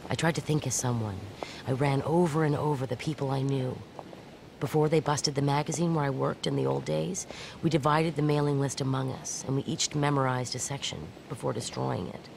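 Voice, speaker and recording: A woman's voice: rumbling low, steady tone, a little fast, gravelly, noisy background. An actress playing a defiant woman fugitive who recounts her escape in a wry, conversational, matter-of-fact way in an audio drama. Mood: wry, weary.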